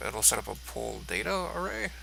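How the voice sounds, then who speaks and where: A man's voice: mid-range voice, sing-song, moderate pace, gravelly, sharp tone, slight background noise, rough recording. A congested man thinking aloud as he walks through code on screen, musing and offhand. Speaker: code reviewer. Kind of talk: screen-recorded code walkthrough.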